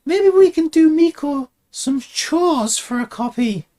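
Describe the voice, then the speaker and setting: A man voicing a tiny, childlike female fairy companion, excitable and cheeky, with a squealing delivery, in a comic game parody. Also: extremely high pitch, slow, voice pushed up, heavily acted. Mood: pleading.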